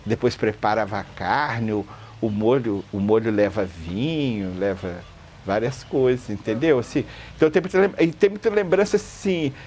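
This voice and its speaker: A man's voice: lowish voice, normal speed, a little hiss or hum. A Brazilian man enthusiastically recalling his grandmother's cooking in an interview, peppering his speech with fillers. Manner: lively, enthusiastic, repeats "assim" and "entendeu". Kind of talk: reminiscing interview.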